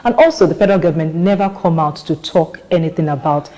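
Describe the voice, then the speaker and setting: A woman's voice: low voice, quick pace, a crowd in the background. A woman with a Nigerian accent putting questions as a news interviewer.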